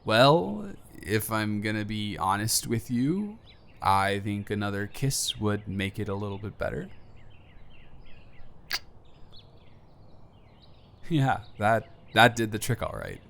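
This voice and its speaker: Guy playing a nervous young man confessing to his best friend, lowish voice, halting and sincere, roleplay audio. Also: lively intonation, vocal fry, heavily acted. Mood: shy, affectionate. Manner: hesitant, halting, earnest, softly vulnerable. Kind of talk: emotional roleplay audio acting, intimate monologue to the listener.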